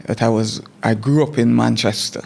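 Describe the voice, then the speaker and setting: A man's voice: medium pitch, fairly even intonation, creaky, a little mellow. A man in his forties with a Jamaican accent, a teacher recalling his schooling in a conversational interview, often repeating words as he thinks back. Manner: repeats words while recalling, measured storytelling. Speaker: guest teacher.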